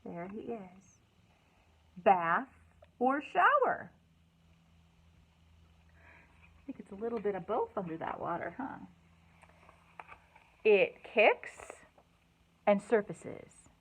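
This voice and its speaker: A woman hosting a children's storytime, reading aloud and chatting in an animated, encouraging way with simple words for young listeners. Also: medium pitch, slow, long pauses, slightly rough. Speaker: children's librarian and storytime host.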